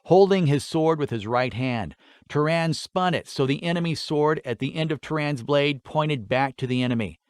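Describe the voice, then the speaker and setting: A man's voice: on the high side, normal speed, clear, resonant tone, no echo, clean studio sound. A man narrating a scripted fantasy story as an audiobook reader.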